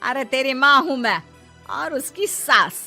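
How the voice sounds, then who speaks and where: Woman voicing a proud, bright-voiced older mother-in-law. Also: high voice, animated, unhurried, soft background music, full character voice. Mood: indignant pride. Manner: indignant, boastful, calling out bossily. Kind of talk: Hindi moral story acting.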